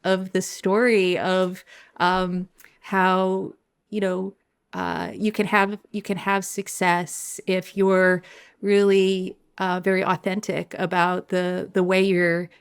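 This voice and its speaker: A middle-aged woman hosts an interview podcast in a fairly low voice. She muses reflectively and often pauses mid-sentence to search for her words. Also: clean tone. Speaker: podcast host.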